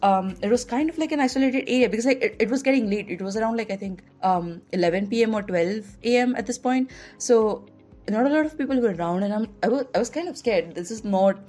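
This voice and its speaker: A woman's voice: on the high side, animated, fast talker, a little mellow, faint music behind. Chatty young Indian woman telling stories. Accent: Indian English. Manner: chatty, heavy use of "like" fillers. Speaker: podcast host. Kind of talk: casual personal storytelling.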